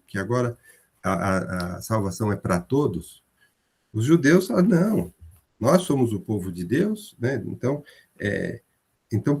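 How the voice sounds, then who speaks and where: Guy teaching bible study on a video call, husky voice, gets higher on the quotes. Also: a little mellow. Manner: explains slowly, jumps higher when voicing quotes.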